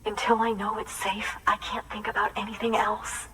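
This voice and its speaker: A woman's voice: mid-range voice, little pitch movement, moderate pace, bright, forceful tone, far from the mic, a little hiss or hum, lightly acted, rough recording. An actress playing an earnest, anxious photographer who carries on her late father's work, in naturalistic video game acting. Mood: anxious, pleading. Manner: earnest, hesitant, heartfelt storytelling.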